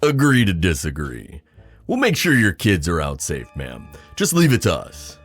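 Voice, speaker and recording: Man acting a practical male ghost hunter, deep voice, expressive, skeptical, steady, audio drama, light music. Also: moderate pace, no room sound, heavily acted.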